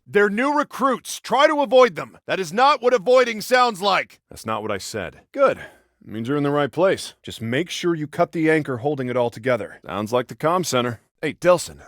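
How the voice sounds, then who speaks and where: A man's voice: mid-range voice, no room sound, clean studio sound. A man with an American accent playing a protective, exasperated cop and older brother in a video game, earnest, bossy and wry. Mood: coaxing, exasperated.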